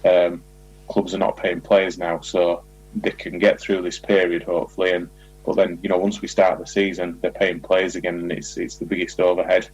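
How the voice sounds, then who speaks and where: A man's voice: deep voice, level tone, moderate pace, slightly rough, muffled recording. A man talking as a football manager in an informal interview, repeating words as he explains.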